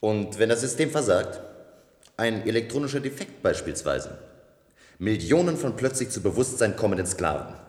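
A man's voice: on the low side, big pitch swings, moderate pace, darkish tone. An actor playing a defiant, challenging male visitor in a 1970s radio play, recorded in an echoey hall.

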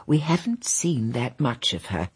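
An actress playing a genteel, anxious, tactful old English grandmother in a radio drama, with a husky, breathy voice. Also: extremely low pitch, big pitch swings, unhurried, heavily acted. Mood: worried, reflective. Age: older.

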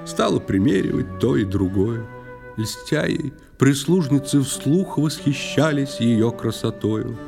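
A man of medium pitch tells a story in verse with expressive, theatrical delivery. It sounds like a scripted musical audio play, with clear music behind the voice. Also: slow pace. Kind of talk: scripted dramatic verse narration.

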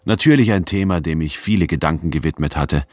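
A male actor playing a reflective, wry anthropologist who narrates a German radio drama in a measured, precise way. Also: very deep voice, darkish tone, no room sound, clean studio sound.

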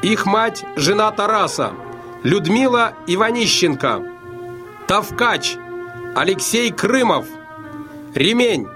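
A man announcing a radio drama in a formal, measured style, reading scripted credits. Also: high-pitched, animated. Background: music behind the voice.

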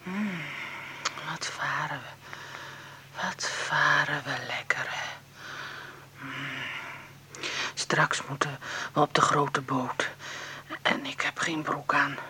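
Frail, very low-voiced confused old mother. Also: fairly even intonation, sharp tone, a little hiss or hum, full character voice. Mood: drifting, confused. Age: older. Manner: halting, wandering, repeating herself. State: weak, breathy half-whisper. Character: confused, dying 75-year-old mother, gently rambling. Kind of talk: naturalistic radio drama acting.

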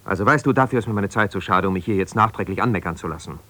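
An actor playing an embittered, ambitious lawyer husband who talks in an argumentative, colloquial way in a naturalistic radio play. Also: male voice, sits low, racing, pushed and bright, no echo. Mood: angry, frustrated. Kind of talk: naturalistic radio play, one side of a phone call.

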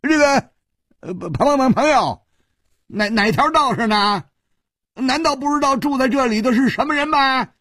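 A man's voice: extremely high pitch, lively intonation, moderate pace, bright, forceful tone, no echo, studio quality, pitched above the performer's own voice, heavily acted. A man voicing a cowardly, blustering male escort chief who stammers over his words.

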